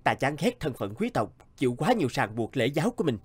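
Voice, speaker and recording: A male actor playing earnest, dramatic young noblemen in an animated fairy tale, with formal theatrical delivery. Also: mid-range voice, fast talker, breathy edge, dry recording. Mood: brooding, earnest.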